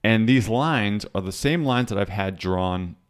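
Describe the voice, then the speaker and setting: A man with a hoarse voice, hosting a casual market commentary show and rambling through charts with fillers and restarts. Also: fairly low pitch, measured pace. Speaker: crypto trading show host.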